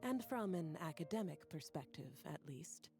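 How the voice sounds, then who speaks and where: Composed, low-voiced scholarly woman. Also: animated, moderate pace, dark tone, soft background music. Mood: analytical. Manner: precise, academic.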